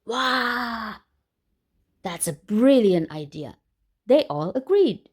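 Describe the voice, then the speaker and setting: A woman with a high, very animated voice reads a picture book aloud for children. She is expressive, often exclaiming and drawing listeners in with questions as she comments on the story. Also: slow pace, husky.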